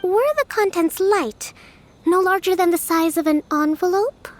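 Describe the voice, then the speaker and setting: A woman voicing a bookish, composed young shrine priestess and strategist in a video game, articulate and quietly confident as she reasons. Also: very high voice, measured pace. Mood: composed, curious.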